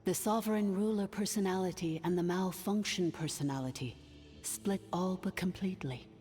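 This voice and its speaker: Game role: ancient artificial intelligence sovereign, acted by a woman, formal and solemn, lower female register, cavernous echo. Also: mellow, lightly acted. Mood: grave. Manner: formal, measured, grave exposition. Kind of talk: video game voice acting. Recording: long cavernous reverb, music underneath.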